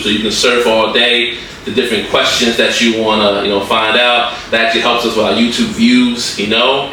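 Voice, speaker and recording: A man with a bright, forceful voice runs a school podcast in an easy, joking way, padding his talk with fillers and asides. He sounds distant from the mic in a very echoey room. Faint noise sits under his voice. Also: mid-range voice. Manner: chatty, joking, lots of fillers. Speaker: podcast host. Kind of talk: casual podcast chat.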